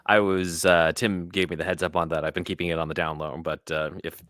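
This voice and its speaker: A man's voice: deep voice, level tone, brisk, a bit bright, no room sound, clean studio sound. A man speaking as an interview guest, a writer and voice actor chatting casually about his work.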